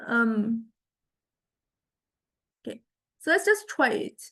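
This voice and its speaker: A woman teaching music technology in an informal software demonstration, thinking aloud and explaining tentatively in a halting way. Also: on the high side, very animated. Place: recorded software lesson.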